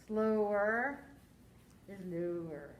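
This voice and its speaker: A woman teaching a science lesson to a class, quizzing her students in a slow, deliberate way. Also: medium pitch, lively intonation.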